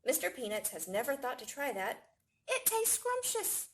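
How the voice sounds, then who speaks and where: Animated woman reading a children's story. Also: high-pitched, moderate pace. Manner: expressive, storytelling to young children. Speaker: library staff storytime reader. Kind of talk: children's picture book read-aloud.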